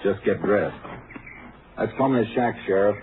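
Calm Texas Ranger, deep and terse, 1950s radio drama, noisy narrowband recording. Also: male voice, measured pace. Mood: calm, probing. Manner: terse, matter-of-fact questioning. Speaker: lead actor in radio drama.

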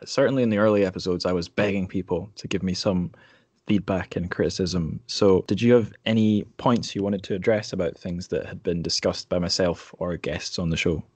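A man's voice: deep voice, fairly even intonation, a little fast, darkish tone, slightly rough, no room sound. A man hosting a podcast in a casual, conversational way, with wry, dry understatement. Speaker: podcast host. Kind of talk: casual interview chat.

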